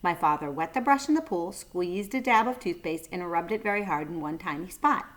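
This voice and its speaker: A woman's voice: lowish voice, animated, fairly quick. A woman reading a children's storybook aloud, adding short asides for young listeners.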